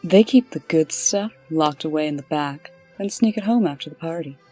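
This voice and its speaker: A woman playing a brisk, sardonic official in a comic dub, with a dry, pointed delivery. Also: deep voice, dark tone, husky, faint music behind. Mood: sly, playful.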